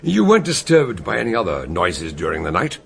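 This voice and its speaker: An actor playing a genial, chuckling detective who also narrates a radio drama, with a gravelly, husky voice. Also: male voice, sits low, huge pitch swings, fairly quick, a bit bright, full character voice. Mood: amused. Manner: genial, chuckling, fond of long words. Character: Dr Gideon Fell, jovial older male detective narrator.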